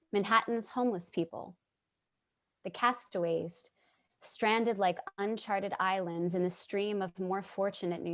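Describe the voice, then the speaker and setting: Woman playing a slow, reflective middle-aged New Yorker. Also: medium pitch. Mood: somber, reflective. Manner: measured, reflective, storytelling. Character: casually dressed middle-aged woman, born-and-bred Manhattanite narrator, compassionate. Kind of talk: dramatic monologue in a staged play reading. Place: at home on a video call.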